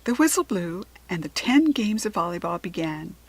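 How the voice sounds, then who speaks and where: A woman with an American accent giving an expressive, deliberate narration of a children's story. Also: fairly low pitch, breathy edge, low-quality recording. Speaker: storybook reader.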